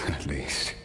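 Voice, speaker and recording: A man voicing a grave, philosophical cursed immortal warrior in a video game, speaking in a solemn, theatrical way. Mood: weary, accepting. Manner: slow, solemn, theatrical delivery.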